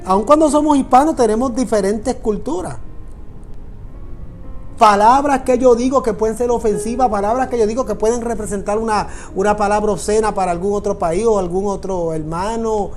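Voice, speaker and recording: A man's voice: high voice, moderate pace, a little mellow, faint music behind. A man with a Puerto Rican Spanish accent, a pastor preaching a sermon in an earnest, repetitive way that speaks directly to listeners. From Puerto Rico. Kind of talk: sermon on prayer.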